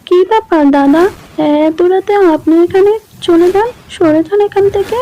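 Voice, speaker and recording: A woman's voice: very high-pitched, moderate pace, warm tone. A woman voicing a timid, anxious young housewife who protests indignantly in a horror cartoon. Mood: alarmed, indignant. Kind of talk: Bengali horror cartoon voice acting. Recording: noisy background ambience.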